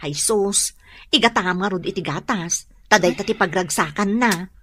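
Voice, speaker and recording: A woman playing a pregnant young wife who gently reasons and coaxes, in an Ilocano radio drama. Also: medium pitch, normal speed, close to an everyday voice. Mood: coaxing.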